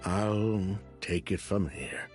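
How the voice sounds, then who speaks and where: A man's voice: lowish voice, steady tone, slow pace, a little mellow, soft background music. An actor playing a bitter, self-justifying businessman with a criminal past in a video game. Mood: dismissive. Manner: dismissive, self-justifying, sighing.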